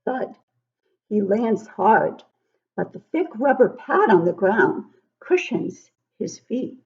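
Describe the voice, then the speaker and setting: Dull lo-fi audio, woman, teacher reading aloud, slow pace, expressive, encouraging, child-directed. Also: mid-range voice. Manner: encouraging, conversational asides to young listeners; expressive, emphatic when reading. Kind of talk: read-aloud storybook narration with questions to children. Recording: dull, lo-fi sound.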